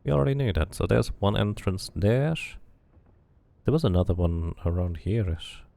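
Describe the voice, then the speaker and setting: A man's voice: low-pitched, lively intonation, normal speed, gravelly, dark tone, no echo. A man doing casual playthrough commentary, musing to himself and reading game text aloud. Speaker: video game streamer.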